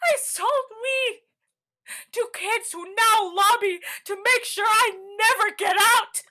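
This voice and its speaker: Angry imprisoned woman in a stage play, really high forceful voice, swears, goes from muttering to yelling. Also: takes it slowly, heavily acted. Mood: furious, defiant. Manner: profane, impassioned, swinging from muttering to shouting. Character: bitter, defiant imprisoned woman facing the end of the world. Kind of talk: theatrical staged-reading monologue.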